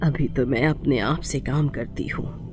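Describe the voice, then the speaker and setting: A woman's voice: very deep voice, a little slow, darkish tone, faint music behind, voice pushed down. A woman voicing a poor, loving, worried village mother in a moral story cartoon, tender and plaintive. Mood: resigned.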